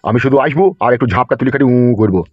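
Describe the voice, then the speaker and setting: A man's voice: medium pitch, racing, close to an everyday voice. A man playing a pushy, lecherous landlord in a comic cartoon, taunting and crude as he fires off questions. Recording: dull lo-fi track with music.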